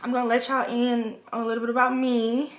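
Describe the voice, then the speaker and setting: A woman's voice: a little high, level tone, a little fast, roomy sound, rough recording. A woman in her twenties from the Virgin Islands, chatting frankly like a big sister while doing her makeup at a home vanity. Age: 20s. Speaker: vlogger.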